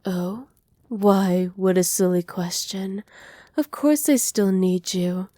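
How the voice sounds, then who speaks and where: Soft, mellow doting witch, acted by a woman. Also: mid-range voice, takes it slowly, dry recording, clean studio sound, lightly acted. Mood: tender, indulgent. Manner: soft, doting, teasing, often dropping to breathy whispers. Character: doting, possessive evil witch pampering her captive pet. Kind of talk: ASMR roleplay, intimate monologue to listener. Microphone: close microphone, dry.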